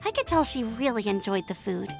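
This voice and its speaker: A bright young scout. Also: female voice, on the high side, big pitch swings, fairly quick, gravelly. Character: cheerful young woman scout, warm and nostalgic. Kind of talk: video game cutscene voice acting. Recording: game music under the voice.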